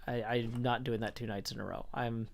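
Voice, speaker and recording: A man's voice: medium pitch, fairly even intonation, moderate pace, gravelly. A man live-streaming a game, chatting in a rambling, casual way and answering his viewers as he plays. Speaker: livestreamer. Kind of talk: casual live-stream chat while playing.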